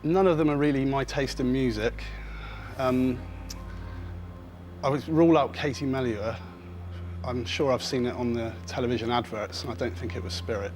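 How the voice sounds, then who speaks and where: Man in his twenties, from cornwall, quiz contestant, measured, faint music behind. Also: mid-range voice, fairly even intonation, a little mellow. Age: 20s. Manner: measured, thinking aloud.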